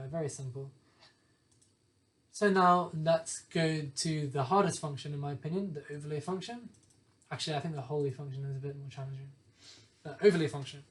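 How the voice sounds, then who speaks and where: A young man with a hoarse voice from a lingering cough, methodically explaining code step by step and often correcting himself. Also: fairly high pitch. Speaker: programming tutor. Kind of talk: screen-share coding walkthrough.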